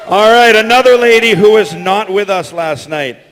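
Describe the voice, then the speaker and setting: A man's voice: sits high, normal speed, a little hiss or hum. A man hosting a live convention panel over a stage microphone, projecting to hype up the crowd. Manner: hyping the crowd, projecting loudly. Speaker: panel host and creator. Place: large convention hall stage. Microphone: stage microphone through PA. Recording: echoey convention hall PA, long reverb.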